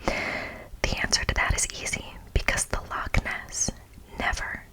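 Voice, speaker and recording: An American woman whispers her way through an ASMR reading of a prepared speech. She takes it very slowly and deliberately. Now and then she turns to the listener with a playful aside, keeping the same hushed delivery. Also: low-quality recording. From United States. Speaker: ASMR host.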